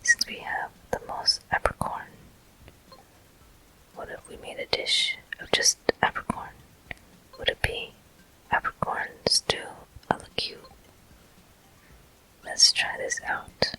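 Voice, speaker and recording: A person whispering gameplay commentary for an ASMR video, breathy and gentle, in short, unhurried phrases. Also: poor audio. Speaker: host of a gaming ASMR video.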